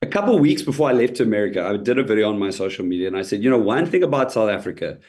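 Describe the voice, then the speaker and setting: A man's voice: lowish voice, big pitch swings, rapid-fire, darkish tone. A man guesting on an interview as a comedian, telling his story in a chatty way, laughing easily and restarting his sentences often.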